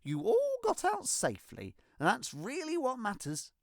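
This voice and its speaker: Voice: medium pitch, huge pitch swings, normal speed, creaky, no room sound, studio quality, pitched above the performer's own voice. A storyteller voicing a kind, reassuring female firefighter in a children's storybook, encouraging and emphatic.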